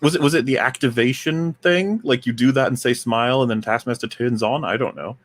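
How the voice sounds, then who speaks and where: A man chats casually with friends on a show, easygoing and teasing. His voice sits at an ordinary mid pitch. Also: fairly quick, a little hiss or hum. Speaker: guest. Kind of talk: casual chat.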